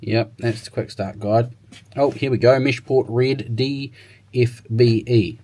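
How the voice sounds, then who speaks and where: A man's voice: medium pitch, fairly even intonation, slow pace, warm tone. A man talking informally and thinking aloud as he gives a casual hands-on demo and review of gear. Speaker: motorcycle tech reviewer.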